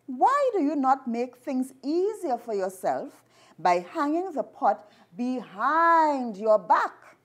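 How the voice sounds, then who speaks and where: A woman's voice: sits high, very animated, takes it slowly, heavily acted. A woman reading aloud the words of a cheeky little boy in a children's story. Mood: teasing.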